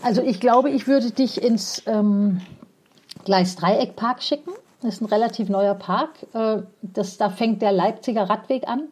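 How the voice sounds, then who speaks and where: Mellow-voiced woman, hesitant and frank. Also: fairly high pitch. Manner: thoughtful, hesitant, many fillers, frank. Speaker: guest, cycling and city mobility advocate. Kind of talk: casual interview answers.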